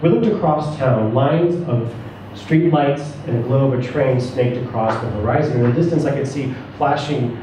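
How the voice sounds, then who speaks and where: A man's voice: mid-range voice, normal speed, mellow. A man giving a dramatic reading of a first-person short story. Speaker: story reader at a live reading. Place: echoey room, away from the mic. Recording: roomy, echoing, distant mic, faint noise.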